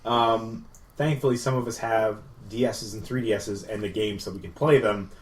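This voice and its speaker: A man's voice: lowish voice, steady tone, moderate pace, reverberant. A man co-hosting a podcast, chatting casually about video games in a rambling, filler-heavy way. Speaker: podcast co-host. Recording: noisy room with ambient sound.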